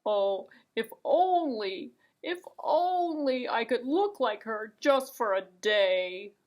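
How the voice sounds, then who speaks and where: Woman as little boy duckling, raised pitch, plaintive, earnest, lonely, storybook puppet show. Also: lively intonation, takes it slowly, a little mellow. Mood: wistful. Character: sad, lonely young male ugly duckling, wistful.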